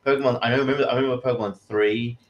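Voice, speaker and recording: A man's voice: mid-range voice, steady tone, racing, lo-fi sound. A man chatting casually as a panelist in a hesitant, rambling way. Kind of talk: casual chat.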